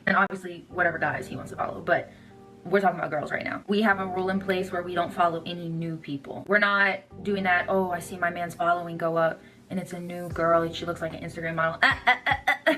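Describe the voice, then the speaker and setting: A woman's voice: on the low side, pushed and bright, low-quality recording. A young woman talking straight to viewers in a blunt, assertive, conversational social media monologue.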